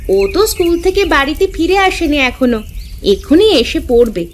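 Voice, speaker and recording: A woman's voice: high voice, sing-song, moderate pace. A woman voicing a caring, practical village housewife and mother in a cartoon, with a homely, matter-of-fact manner. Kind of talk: Bengali cartoon dialogue. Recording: clearly noisy, birds and outdoor ambience.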